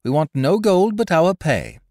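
Man putting on a high, insistent old-time sailor. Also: animated, a little slow, a little mellow, dry recording, studio quality. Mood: emphatic. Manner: emphatic, cold, dialect-laden. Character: bold male sailor with rustic speech, protesting his honesty. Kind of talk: audiobook character voice, old seafaring dialect.

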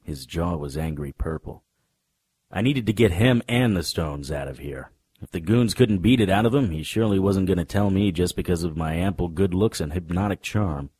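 An actor playing a wry, deadpan, hardboiled supernatural private investigator narrating a noir radio drama in a husky voice. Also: male voice, deep voice, normal speed. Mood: grim, wry. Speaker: narrator and lead.

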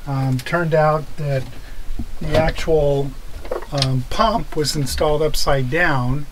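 A man's voice: a little high, fairly even intonation, takes it slowly, slight background noise, rough recording. A middle-aged man in a cramped sailboat cabin explains plumbing repairs in a matter-of-fact way, with many ums. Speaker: sailing vlogger. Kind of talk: casual explanation of boat repairs. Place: inside a small sailboat cabin.

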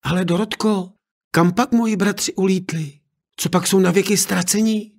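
A man's voice: sits high, steady tone, vocal fry, darkish tone, pitched above the performer's own voice. A man voicing a brave, devoted young girl in a fairy tale read aloud, earnest and pleading. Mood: worried. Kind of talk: storybook reading.

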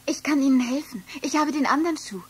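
A woman playing a sweet, gentle young fairy-tale maid in a children's audio play. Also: high voice, quick pace, big-room sound, close to an everyday voice. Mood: earnest.

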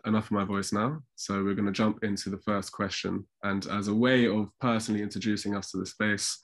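A man bunged up with a cold, sounding nasal as he chairs an online panel discussion over a video call. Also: on the low side. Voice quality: nasal, congested. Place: indoors at home on a video call. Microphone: video call microphone.

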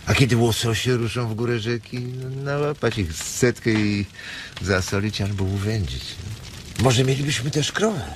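An actor in a radio drama playing a blunt, weary ranch hand who dreams of his own farm, speaking colloquially with hesitant pauses. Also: medium pitch, natural voice. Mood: dreamy. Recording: noisy ambience behind the voice.

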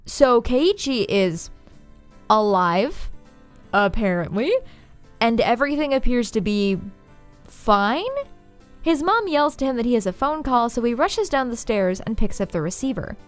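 Female video essay host. Slightly high voice, wry and playful, light music underneath. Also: animated, measured pace. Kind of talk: scripted video essay narration. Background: faint background music.

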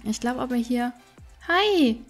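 A high-pitched female let's player talks casually through her game. She thinks aloud in a chatty way, with music playing behind her. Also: expressive, slow pace. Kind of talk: casual gameplay commentary.

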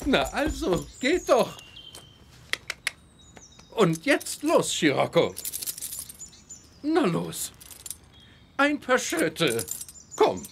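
A middle-aged aristocrat in a children's radio play. He is genteel, confident and jovial, with a highly animated delivery that swings widely in pitch. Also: drawn-out words, gravelly, long pauses, noisy background, heavily acted. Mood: coaxing. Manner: genteel, self-assured. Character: jovial, confident aristocratic count, father of a teenager. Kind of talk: children's audio drama acting.

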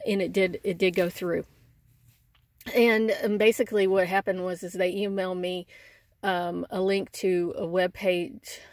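A woman's voice: on the low side, dry recording. A middle-aged woman with a congested, stuffy nose chatting casually to camera from a parked car, rambling with lots of ums and restarts. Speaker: couponing vlogger.

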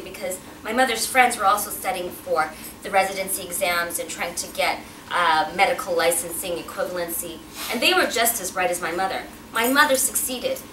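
A woman's voice: mid-range voice, sharp tone. A woman, giving a chatty personal talk as a guest speaker and asking the audience questions. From Pakistan. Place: event hall with a backdrop, indoors. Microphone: podium mic, filmed from a distance. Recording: roomy, distant, noisy hall sound.

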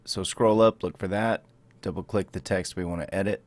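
A man's voice: low voice, fairly even intonation, fairly quick, darkish tone. A man hosting a game tutorial, commenting and speaking voice commands to the game. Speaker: gaming video host and player.

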